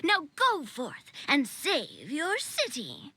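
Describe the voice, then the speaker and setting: A woman's voice: high voice, wildly swooping pitch, slow, bright, forceful tone, full character voice. A woman playing a confident, upbeat, breezy young female leader in a video game. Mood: wry, recounting. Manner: self-assured, breezy.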